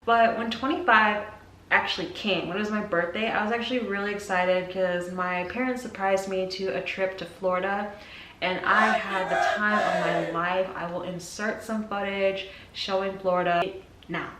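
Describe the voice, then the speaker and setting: A woman's voice: medium pitch, moderate pace, far from the mic. A woman in her mid twenties chatting casually and candidly to camera, slangy and informal, slipping into little dramatized asides. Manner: animated, dramatizing her own thoughts in little acted asides. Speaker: vlogger. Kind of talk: casual chit-chat to camera. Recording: roomy echo, off-mic, faint background noise.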